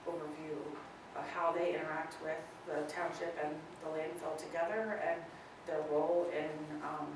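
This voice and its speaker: A woman with a low voice talks tentatively and explains as she goes at a public meeting. The sound is distant and heavily echoing, with noise behind her. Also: fairly even intonation, moderate pace. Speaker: meeting participant. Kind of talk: spontaneous discussion at a public meeting. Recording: echoey meeting room, distant mic, noisy.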